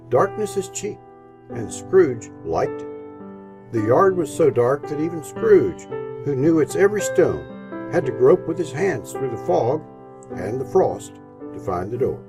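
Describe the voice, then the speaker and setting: Guy narrating an old radio story, theatrical, medium pitch, piano music clearly playing behind.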